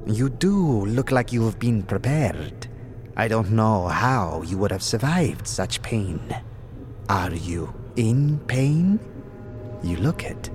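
Slow, sinister, sickly ghoulish mortician. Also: male voice, on the low side, expressive, over music. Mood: suspicious, probing. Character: sickly sinister ghoul-like undead mortician, weary, formal, suspicious. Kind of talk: audio drama acting.

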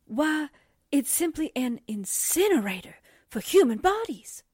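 An actress plays a scared lady sidekick in an old radio thriller. Her voice is extremely high and swoops around, with slow, breathless melodrama. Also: very animated, a little mellow. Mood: shocked. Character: frightened, breathless young newspaperwoman sidekick. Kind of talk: 1940s radio drama acting.

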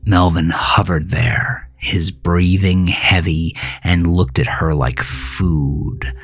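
A man reading a scripted horror story as narrator, in a deliberate, ominous manner. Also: sits low, fairly even intonation.

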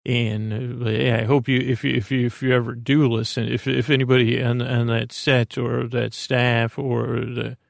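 A man with a creaky voice giving a lulling, meandering, halting bedtime podcast monologue as host, in a self-deprecating way. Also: mid-range voice, level tone, no echo, clean studio sound. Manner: lulling, soothing.

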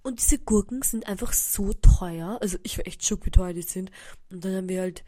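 A woman's voice: mid-range voice, normal speed, warm tone. A young Austrian woman hosting a podcast, chatting casually with a stuffy nose from a cold and mixing English words into her rambling. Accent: Austrian German. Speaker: podcast host. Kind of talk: casual solo chat. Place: indoors at home.

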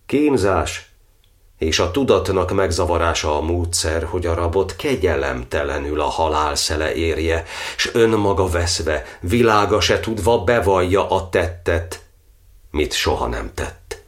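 Jailed cardinal telling his ordeal in verse, grim, low voice, dramatic radio play style. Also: animated. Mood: bitter, weary. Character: tormented imprisoned cardinal recounting his torture, grim, enduring.

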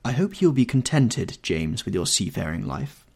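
A man's voice: lowish voice, very animated, moderate pace, creaky, a little mellow, breathy edge, pitched above the performer's own voice, full character voice. A man voicing a vain, affected older mother, a former actress, in a stately, genteel, theatrical manner for an audiobook. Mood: complaining. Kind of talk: audiobook character dialogue.

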